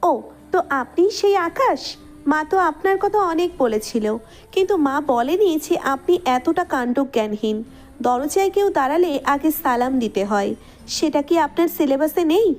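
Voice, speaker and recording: A woman playing a haughty, sharp-tongued young woman who is quick to scold in an audio story. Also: high-pitched, lively intonation. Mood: indignant, scolding. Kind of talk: scripted audio story acting. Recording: music under the voice.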